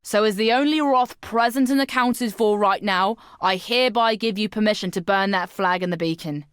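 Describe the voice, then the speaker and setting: A woman's voice: mid-range voice, moderate pace, clear, resonant tone, no room sound, close to an everyday voice. Brash young pirate lass, acted by a woman, dry close sound. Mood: wistful, determined. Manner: salty old-time sailor slang, swaggering. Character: brash young female pirate seafarer, bawdy and proud. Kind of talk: video game character dialogue.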